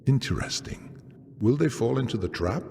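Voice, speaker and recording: A man playing a grim, sardonic observer in a video game cutscene, speaking in a deliberate, theatrical way with pointed questions. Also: medium pitch, animated, gravelly, a little mellow. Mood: watchful, intrigued. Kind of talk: dramatic scripted game cutscene acting. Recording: long cavernous reverb.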